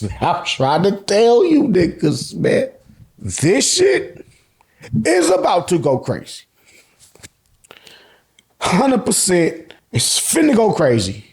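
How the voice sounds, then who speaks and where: An American man giving an excited, slangy fan recap, emphatic and repeating phrases for effect, with casual swearing. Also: high-pitched. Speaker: host of an anime and manga review channel.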